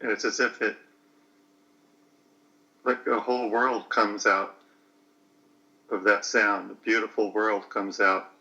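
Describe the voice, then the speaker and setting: A man in his late forties talking slowly through a personal reflection, hesitant and searching for words. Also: fairly low pitch, level tone, bright, forceful tone, lo-fi sound. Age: 40s.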